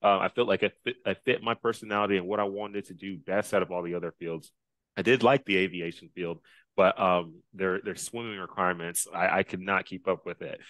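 A man in his thirties from Texas, a guest telling his story in a casual video-call interview, upbeat and quick to laugh, with many fillers. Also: sits low, steady tone, a bit bright, no echo, rough recording. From Dallas, Texas. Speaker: guest, Navy officer and race driver. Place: small indoor room. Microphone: headset.

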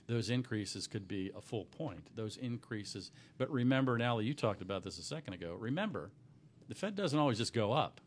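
A middle-aged man, a little hoarse, explaining loans as a guest on a radio talk show in a small studio with a desk mic. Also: fairly low pitch, lively intonation, brisk, slight background noise. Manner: earnest, explanatory, many restarts. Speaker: mortgage company owner, guest. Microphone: desk microphone on boom.

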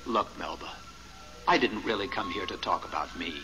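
Weary, low-voiced ghost husband. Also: male voice, expressive, normal speed, music in the background. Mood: gentle, evasive. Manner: hesitant, evasive, weary. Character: reluctant, gentle ghost of a dead husband. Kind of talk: 1970s radio drama acting.

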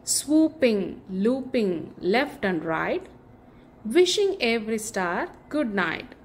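A woman reads a bedtime picture book to children very slowly, stretching her phrases with care. Her pitch sits in a middle range and swings with lots of melody. A faint noise sits behind her voice. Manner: expressive, deliberate storytelling with drawn-out phrases. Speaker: children's story reader. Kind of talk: read-aloud bedtime picture book narration for kids.

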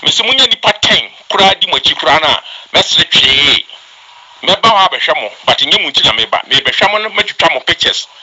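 A man's voice: on the high side, expressive, brisk, pushed and bright, rough recording. A Ghanaian man speaking about politics in a challenging, mocking way, mixing in English.

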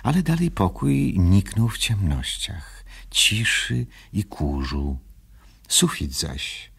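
A man narrates a storybook in a very low voice. It is extremely husky and raspy, yet the intonation moves in a lively way through the scripted narration.

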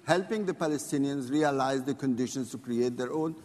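A man's voice: mid-range voice, brisk, a little mellow. A man in a reverberant hall giving an insistent, formally worded rebuttal as an official in a debate. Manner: indignant, insistent, formal wording.

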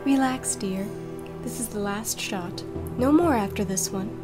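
A woman's voice: medium pitch, unhurried, over music, natural voice. A woman voicing a kindly, motherly pony nurse who speaks in a cooing, reassuring way in a fan radio play. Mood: soothing. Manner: cooing and reassuring, calls others dear.

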